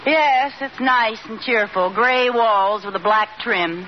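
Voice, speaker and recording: An actress playing a dramatic, nervous, wisecracking wife in an old radio comedy, with a theatrical, sarcastic delivery. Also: female voice, fairly high pitch, takes it slowly. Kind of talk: 1940s-50s radio comedy acting. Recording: dull noisy old radio recording with audience.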